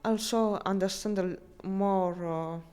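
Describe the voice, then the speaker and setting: A woman on a live stream talks to her chat in unhurried, halting English, often stopping to restart a phrase or hunt for the right word. Her voice sits at an ordinary middle pitch with a soft, mellow tone. Quiet music plays underneath. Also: steady tone, clean tone. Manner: halting non-native English, frequent restarts and searching for words.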